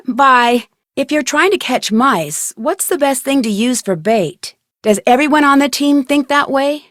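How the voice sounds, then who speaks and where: Game heroine, young female detective played by a woman, inquisitive and friendly, higher-set voice, very dry recording. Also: no room sound, lightly acted. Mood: upbeat, curious. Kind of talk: video game dialogue lines.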